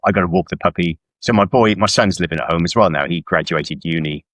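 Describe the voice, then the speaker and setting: Middle-aged male author from South Wales, notably low register, informal interview guest, very little room sound. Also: fast talker, fairly bright tone, no echo. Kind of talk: casual conversational interview. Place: indoors at home on a video call.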